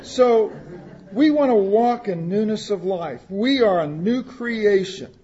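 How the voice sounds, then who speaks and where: A man's voice: high-pitched, animated, slow, dark tone, highs cut off. A man preaching a sermon, reading scripture aloud and explaining it in plain words, with asides to the congregation. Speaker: pastor.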